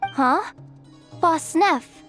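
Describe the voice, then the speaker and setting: A woman's voice: very high-pitched, extremely slow, fairly bright tone, soft background music, exaggerated voice. An actress voicing a jittery young female shop worker who stammers and gushes. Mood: nervous. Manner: stammering, gushing. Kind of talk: video game dub acting.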